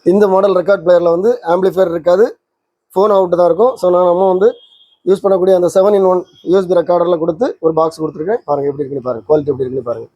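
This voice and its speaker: A man presents an informal demonstration. His voice sits a little high for a man and has a soft, mellow tone. He speaks quickly, guiding viewers step by step, in a close, dry sound. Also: fairly even intonation, dry recording. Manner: explains step by step, addressing viewers directly. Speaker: presenter of a record player tutorial channel.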